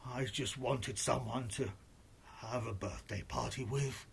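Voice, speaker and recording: A shy, downcast male wolf from a bedtime storybook, acted out by a narrator. He speaks low and husky, hesitant and subdued, gentle and lonely rather than scary. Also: steady tone, a little slow, exaggerated voice. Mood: sad, lonely.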